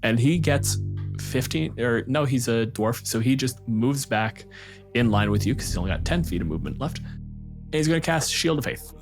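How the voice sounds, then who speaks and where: A man's voice: medium pitch, expressive, moderate pace, music in the background. A man running a tabletop roleplaying game as game master, narrating in a casual, dryly wry way.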